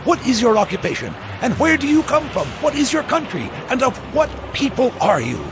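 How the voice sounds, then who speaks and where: A man's voice: very high voice, huge pitch swings, a little fast, music in the background, heavily acted. An actor playing an alarmed, demanding seaman in a dramatized audio Bible, exclaiming urgently. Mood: urgent interrogation. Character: alarmed, demanding ship captain or sailor.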